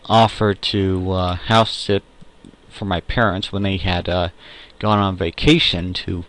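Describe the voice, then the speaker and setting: A young adult man with a fairly low voice talks casually, as in a personal audio log. His delivery rambles and halts, with pauses as he gathers his words. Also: a bit bright.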